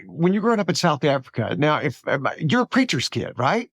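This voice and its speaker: A man's voice: on the high side, very animated, a little fast, fairly bright tone, dry recording, studio quality. A man from Alabama hosting a podcast interview, chatty and eager, often stumbling and restarting his questions. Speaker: podcast host.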